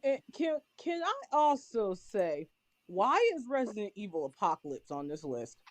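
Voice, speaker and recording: A woman's voice: medium pitch, big pitch swings, moderate pace, no echo. A woman bantering casually on a panel in a blunt, exasperated and emphatic manner.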